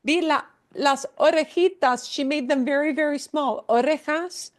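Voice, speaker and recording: A woman's voice: high-pitched, normal speed. A woman teaching a language class, patiently explaining and repeating words. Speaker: Spanish teacher.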